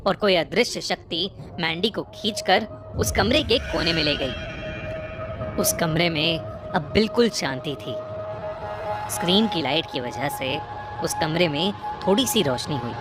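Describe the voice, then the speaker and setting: Low-pitched woman narrating horror over music. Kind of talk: scripted horror story narration. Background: prominent music bed.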